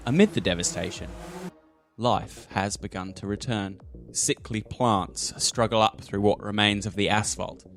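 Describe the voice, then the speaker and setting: A man with a fairly low voice acts as game master for a tabletop roleplaying game. He describes scenes like a storyteller. Music sits underneath his voice.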